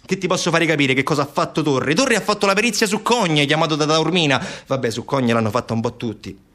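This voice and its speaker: Satirical stage monologue: male actor as evasive official, fairly high voice, colloquial, mockingly defending shady experts. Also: fast talker. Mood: mock admiring. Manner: colloquial, sarcastic, animated rhetorical asides. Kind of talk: theatrical satirical monologue, one side of a conversation.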